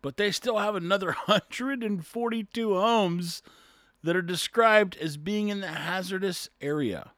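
A man's voice: sits high, unhurried, fairly bright tone, no room sound, studio quality. A man talking casually on his own and reading an article aloud, chuckling often, rambling and tripping over words.

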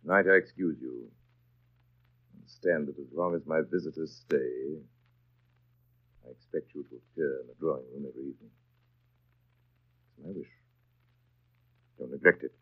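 An actor playing a brooding, commanding master of a country house in 1930s radio drama style, with an imperious, abrupt and intense manner. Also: male voice, a bass voice, moderate pace, pauses often. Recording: dull 1930s radio broadcast recording.

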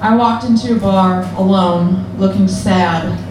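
A young woman performing a crude, self-deprecating stand-up set in a deadpan manner. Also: medium pitch, unhurried. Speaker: stand-up comedian. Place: comedy club or bar stage. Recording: echoey room, distant, noisy.

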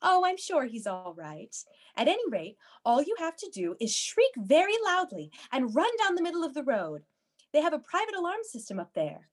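A woman's voice: high-pitched, wildly swooping pitch, normal speed. A woman playing a prim, fussy babysitting agency dispatcher who reassures people, in a comedic radio play. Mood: reassuring.

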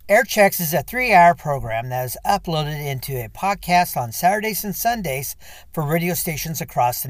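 A man's voice: a little high, dry recording, studio quality. A man reading a scripted introduction as a radio show host. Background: faint music.

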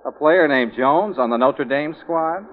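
A man's voice: a little high, unhurried, lightly acted. A man playing an easygoing, wisecracking investigator boss in a 1940s radio drama. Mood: breezy, amused. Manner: easygoing, teasing. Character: breezy, wisecracking ex-army male investigator boss. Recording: muffled, noisy 1940s radio recording.

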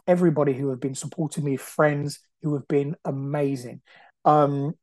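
Earnest man in his forties from Brazil, Portuguese his first language; mellow voice, dry close mic, unhurried podcast monologue. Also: fairly high pitch, dry recording. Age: 40s. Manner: earnest, repeats phrases, frequent "you know". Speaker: podcast host. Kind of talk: casual reflective monologue. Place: at home on the sofa.

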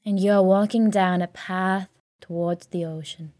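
A woman leading a guided sleep meditation in a calm, soothing, gentle way, leaving long pauses between phrases. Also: mid-range voice, dry recording, clean studio sound. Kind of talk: guided sleep meditation, soft scripted narration.

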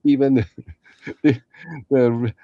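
A man chatting casually as a co-host, with halting, non-native phrasing, on a lo-fi recording. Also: medium pitch, steady tone, dry recording. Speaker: podcast co-host, radio play performer. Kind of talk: casual chat.

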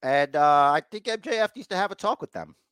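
Animated man chatting, closely recorded. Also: a little high, fairly quick, smooth, dry recording, studio quality. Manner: mock exasperation, repeats phrases for emphasis. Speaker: podcast host recapping wrestling. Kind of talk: casual chat, rambling recap.

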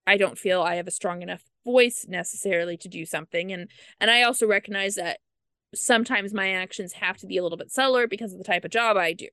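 Woman co-host, earnest and chatty, crisp clear tone, dry close sound. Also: medium pitch, pushed and bright, no echo. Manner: earnest, quick asides with laughs. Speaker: podcast co-host. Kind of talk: casual book discussion.

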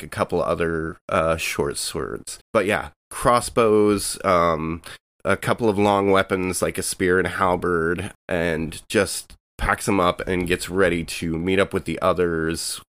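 Low-voiced man rambling through his turn. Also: fairly bright tone, dry recording. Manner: rambling, filler-heavy, laid-back. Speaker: player. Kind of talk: describing his character's actions in a tabletop game.

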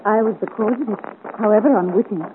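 An actress playing a gracious, gently curious young noblewoman in a 1950s radio serial, with refined, formal period diction. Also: medium pitch, quick pace, close to an everyday voice. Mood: concerned. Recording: muffled, hissy old radio serial recording.

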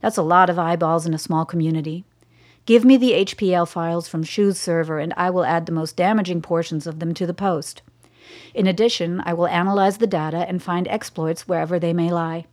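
Cool, precise scientist-advisor, played by a woman, a little low-pitched. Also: fairly even intonation, fairly quick, natural voice. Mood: composed. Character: composed, defiant female scientist advisor. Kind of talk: radio drama acting.

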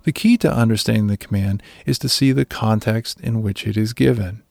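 A man's voice: on the low side, a little fast, gravelly, darkish tone, no room sound, clean studio sound, soft background music. A man reading a scripted devotional reflection as a religious speaker.

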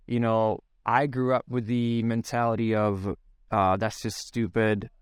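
A man's voice: lowish voice, level tone, measured pace, smooth, no room sound, studio quality. A man in his thirties from Sweden with a Swedish accent, speaking English, reflecting as a podcast guest with many fillers and restarts. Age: 30s. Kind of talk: casual podcast interview.

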